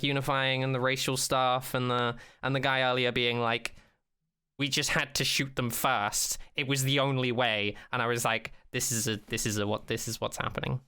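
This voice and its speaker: A man co-hosting a podcast, chatting casually and opinionatedly, acting out mock quotes in an animated way and swearing freely. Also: medium pitch, smooth. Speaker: podcast co-host. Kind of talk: casual chat, opinionated rant about a TV show.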